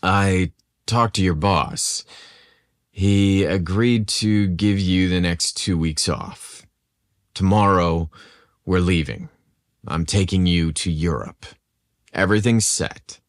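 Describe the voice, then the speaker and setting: A man's voice: low voice, no room sound, studio quality. A man voicing a rugged, charming, devoted lover in a romantic audio story, heartfelt in manner. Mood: confident, loving. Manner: halting, heartfelt.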